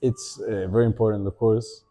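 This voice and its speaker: A young man with an Argentine Spanish accent, Spanish as his first language, talking as a podcast guest in a thoughtful way, pausing to think and often restarting his sentences. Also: lowish voice, level tone, dark tone, faint music behind. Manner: reflective, thinking aloud with many fillers and restarts. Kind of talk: casual podcast conversation.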